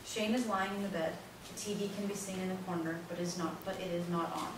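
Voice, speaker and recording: A woman's voice: lowish voice, steady tone, quick pace. A woman narrator reading scripted stage directions at a play reading, recorded in an echoey room. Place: echoey indoor room, far from mic. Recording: very echoey room, distant mic, noisy.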